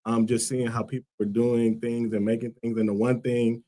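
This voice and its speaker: A man's voice: medium pitch, level tone, moderate pace, dark tone. A man from Liberia, a guest on a talk show, who answers earnestly and thoughtfully with many fillers. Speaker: guest, school co-founder. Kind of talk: casual interview answers.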